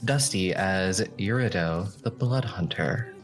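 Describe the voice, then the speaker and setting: A man's voice: low-pitched, slow, faint music behind. A man reading a scripted promotional announcement as a trailer announcer.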